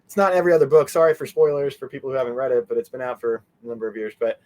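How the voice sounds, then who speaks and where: Rambling, animated man chatting casually. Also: medium pitch, very fast. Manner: animated, meandering, trails off mid-sentence. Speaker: interview guest, fan convention organizer. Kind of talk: casual rambling interview chat.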